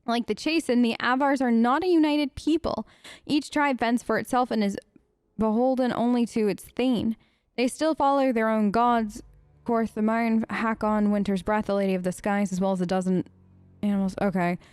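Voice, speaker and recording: A woman's voice: a little high, fairly even intonation, normal speed, darkish tone, smooth, no echo, studio quality. A woman hosting a game let's play, reading in-game lore aloud and breaking off with curious, puzzled questions. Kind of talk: gameplay commentary and reading in-game text aloud.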